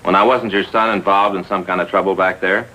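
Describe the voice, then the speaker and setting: Concerned American police detective. Forceful low voice, polite questioning, vintage TV crime drama. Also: male voice, steady tone, brisk. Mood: polite, probing. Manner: polite, probing. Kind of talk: 1950s police drama acting. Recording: noisy vintage TV soundtrack.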